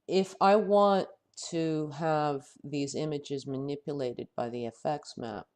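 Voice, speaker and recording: Man with a head cold teaching software. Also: a little high, fairly even intonation. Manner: thinking aloud, halting and self-correcting. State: head cold, losing his voice. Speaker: software instructor. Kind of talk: screen-recorded software tutorial.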